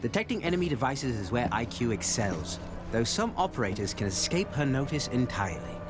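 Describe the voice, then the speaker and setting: An actor playing a male special-forces director in a video game, giving formal, measured, scripted appraisals of his operatives. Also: medium pitch, sing-song, close to an everyday voice. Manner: formal, measured, deliberate delivery. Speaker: game announcer introducing operators. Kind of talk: scripted narration. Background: music.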